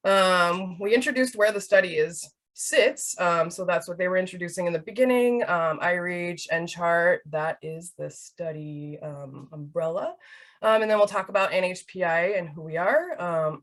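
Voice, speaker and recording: A woman's voice: on the low side, expressive, measured pace. A woman in her thirties from Hawaii, presenting a webinar in a casual, chatty way with many fillers. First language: Hawaiian Pidgin. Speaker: research project manager.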